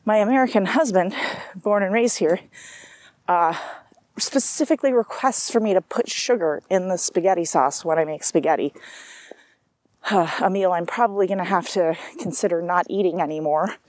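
A woman's voice: lowish voice, normal speed, a little mellow, dry recording. A woman talking casually to her camera, rambling from thought to thought with many asides and restarts. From South Africa. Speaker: vlogger. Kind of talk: casual personal monologue.

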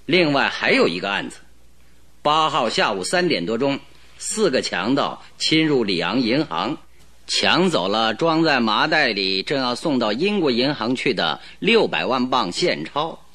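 A shrewd, self-assured detective from an old radio play. He presses and mocks with cool precision, his bright voice rising and falling in lively swings. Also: medium pitch, a little slow, exaggerated voice. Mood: accusing. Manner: probing, mocking, coolly pressing. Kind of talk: radio drama acting.

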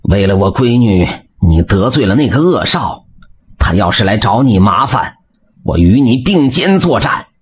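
A man voicing an earnest village butcher in a storybook tale, speaking heartfelt with a raspy voice. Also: fairly low pitch, very animated, normal speed, exaggerated voice. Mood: grateful.

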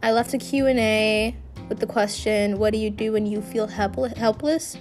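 A young woman hosting a personal podcast, her voice a little raspy from feeling unwell, talking candidly and rambling with restarts. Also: mid-range voice, fairly even intonation, normal speed, darkish tone, faint music behind. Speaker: podcast host. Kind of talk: casual personal podcast monologue.

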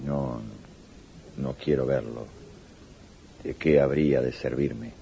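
A man's voice: rumbling low, fairly even intonation, takes it slowly, gravelly, dark tone, heavily acted. A man playing a curt, imperious dictator in a radio drama, authoritative and clipped. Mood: cold, curt. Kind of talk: 1980s radio drama acting. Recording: dull, noisy old radio recording.

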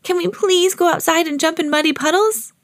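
A woman's voice: very high voice, big pitch swings, moderate pace, dry recording, clean studio sound, pitched above the performer's own voice, full character voice. A woman voicing an eager, slightly bossy little girl pig for a children's storybook. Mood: eager pleading. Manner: eager, exclaiming.

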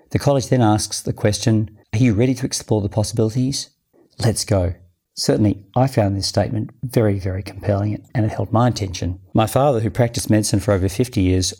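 A man, a doctor hosting a medical podcast, reading a measured formal introduction and then interviewing a guest. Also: lowish voice, warm tone, husky. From Australia.

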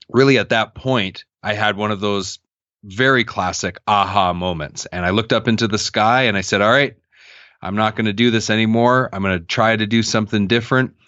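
Thirties male guest, earnest storyteller with a low voice and a dull, dry remote sound. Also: no room sound. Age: 30s. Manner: earnest, reflective, animated when quoting others. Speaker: podcast guest. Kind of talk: candid personal storytelling.

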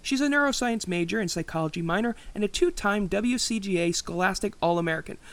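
A man with a high voice hosts an interview podcast. He introduces his guest and puts questions to her in a friendly way, with a few uh fillers between them. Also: expressive, fast, fairly bright tone. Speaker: podcast host.

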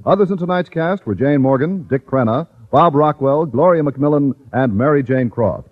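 A man's voice: fairly high pitch, moderate pace, phone-quality sound. A man announcing scripted closing credits in the style of 1950s radio. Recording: music and audience noise behind announcer. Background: loud theme music and crowd.

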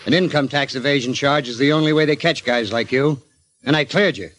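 A slick, menacing lawyer gone crooked, acted by a man in 1940s radio crime drama style. His mid-pitched voice is sardonic and deliberate, with a threatening edge. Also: a bit bright, a little hiss or hum, natural voice. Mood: indignant, demanding. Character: shrewd, menacing male crooked lawyer demanding his cut.

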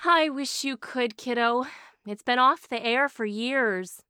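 A bubbly, affectionate aunt in an audio drama. Her voice is highish and bright with lively swoops, fond and emphatic, in a dry studio. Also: slow, clean tone, no room sound. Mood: fond, wry. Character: bubbly, affectionate aunt, former game show champion.

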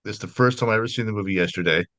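A man with a lowish voice hosts a horror interview over a video call, talking in a chatty, rambling way with frequent restarts. His sound is dry and close, with no room behind it. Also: very fast, fairly bright tone, dry recording. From United States. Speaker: podcast host.

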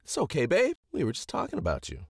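An actor playing a cocky, smitten young tech intern with a flippant, showy manner in a sitcom audio drama. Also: male voice, mid-range voice, huge pitch swings, quick pace, husky.

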